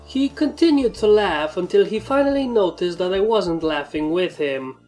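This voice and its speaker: A woman's voice: on the low side, animated, normal speed, mellow. A woman reading scripted story narration as the narrator of a fan fiction tale. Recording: music behind the voice.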